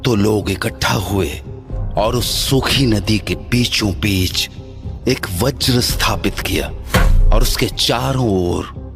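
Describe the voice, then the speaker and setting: A man's voice: on the low side, slow pace, vocal fry, fairly bright tone. A man narrating a scripted horror tale in a solemn, dramatic manner. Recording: music behind the voice.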